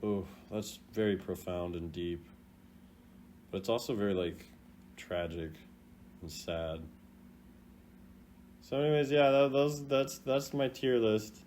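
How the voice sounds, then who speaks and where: A young man giving casual, playful reaction commentary as a gaming video host, jumping between loud exclamations and low mutters. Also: takes it slowly, long pauses, a little hiss or hum. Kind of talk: casual reaction commentary ranking game voice lines.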